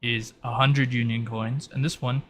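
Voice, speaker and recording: A man acting as game master, improvising tabletop narration in a halting, informal way over background noise. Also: medium pitch, level tone.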